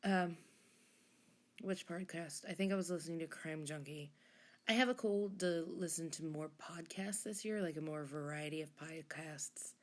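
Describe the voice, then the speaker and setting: A woman hosting a journaling vlog in a casual voice-over, hoarse and froggy from a recent illness, rambling and correcting herself with half-whispered asides. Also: deep voice, moderate pace. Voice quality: husky, rough. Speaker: journaling channel host.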